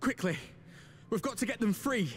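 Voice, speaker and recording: High-pitched, desperate young game hero. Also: male voice, lively intonation, normal speed, a little hiss or hum. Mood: urgent. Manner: urgent, anguished. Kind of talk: dramatic video game acting. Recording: game music behind the voice.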